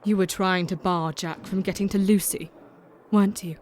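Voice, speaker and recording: Composed young Victorian heroine played by a woman, mid-pitched, formal and steely, for gothic radio play. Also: fairly even intonation, normal speed, a little mellow, slight background noise, natural voice. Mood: pointed, accusing. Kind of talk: audio drama acting.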